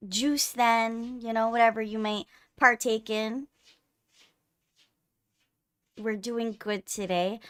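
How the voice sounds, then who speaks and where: A woman's voice: fairly high pitch, fairly even intonation, unhurried, fairly bright tone, clean tone, dry recording. A woman hosting a livestream in casual chat, teasing her co-host playfully, close on the mic.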